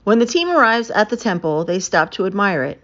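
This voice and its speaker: Female storybook narrator, highly animated and emphatic, medium pitch, muffled lo-fi sound. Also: brisk. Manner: animated, emphatic storytelling with stretched key words. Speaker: read-aloud narrator. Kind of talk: expressive children's book read-aloud.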